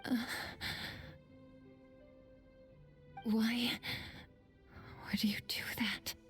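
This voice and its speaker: An actress playing a stoic, driven young warrior disciple in a video game, speaking in a cool, restrained, formal manner. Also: female voice, a little high, little pitch movement, slow, a bit bright. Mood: guarded, questioning. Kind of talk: dramatic video game dialogue. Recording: music behind.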